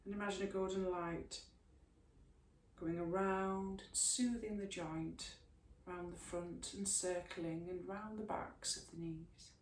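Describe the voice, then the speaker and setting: A woman teaching a yoga class, giving slow, soothing guidance through stretches and a guided relaxation. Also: lowish voice, fairly even intonation. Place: room, recorded at a distance from the camera mic. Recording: echoey room, mic at a distance, faint hiss.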